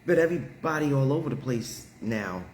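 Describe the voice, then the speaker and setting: A man hosting a live stream, telling everyday stories in a casual, animated way with frequent laughter. He has a deep, heavy voice. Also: brisk, roomy sound, slight background noise. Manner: animated, laughs often, talks straight to viewers. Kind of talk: casual storytelling chat.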